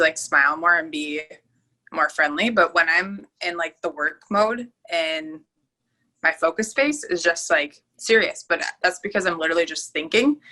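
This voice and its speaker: A woman's voice: on the low side, bright, forceful tone, low-quality recording. A woman in her twenties co-hosting a podcast, talking candidly and easily with lots of fillers in casual chat. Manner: candid, easygoing, filler-heavy. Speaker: podcast co-host.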